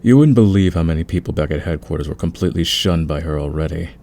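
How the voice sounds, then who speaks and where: A man playing a calm, friendly veteran thief in an ASMR audio roleplay, speaking in a patient, soothing, conversational way. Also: extremely low pitch, fast talker, creaky. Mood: gentle, explanatory. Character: calm, friendly veteran male thief smoothing over team tensions.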